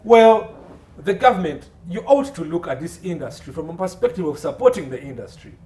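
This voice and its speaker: A man's voice: sits high, big pitch swings, brisk, darkish tone. A man with a Ugandan accent speaking as an expert guest in an interview, emphatic and repeating phrases for stress. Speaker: guest, entertainment law consultant. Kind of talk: interview commentary. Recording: distant mic with faint background noise.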